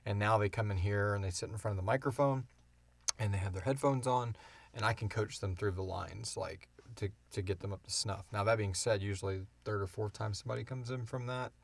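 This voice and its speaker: Low-voiced man chatting casually, close and dry. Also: a little fast, creaky, dry recording. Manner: informal, swears freely. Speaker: podcast host and producer. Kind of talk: casual unscripted Q and A chat.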